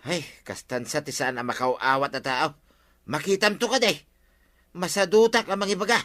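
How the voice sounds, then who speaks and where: Forceful, wheedling Ilocano village husband. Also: male voice, fairly high pitch, fairly even intonation, normal speed. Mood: argumentative, playful. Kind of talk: Ilocano radio comedy drama acting.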